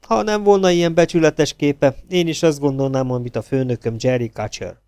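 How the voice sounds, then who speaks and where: A man voicing a sharp-tongued young woman secretary, scornful and mocking, in a crime story reading. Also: a little high, clean tone, dry recording, clean studio sound, natural voice.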